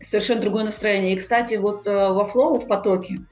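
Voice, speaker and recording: A woman's voice: medium pitch, steady tone, fast, darkish tone, soft background music. A woman hosting a podcast discussion, explaining ideas casually and often searching for her words. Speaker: podcast host.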